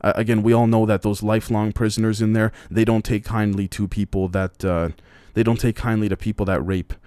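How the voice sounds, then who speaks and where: A man's voice: lowish voice, level tone, fairly quick, dark tone, clear, resonant tone, no echo. A man hosting a podcast, reading news headlines and giving blunt, profane opinions in a rambling way full of restarts. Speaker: podcast host. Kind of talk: casual news commentary.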